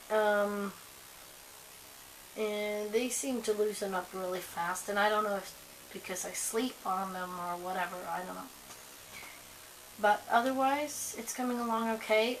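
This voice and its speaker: A young woman with a hoarse voice from a cold, chatting casually to camera at home, rambling with lots of ums and likes. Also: mid-range voice, fairly bright tone, slight background noise, rough recording. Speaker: vlogger.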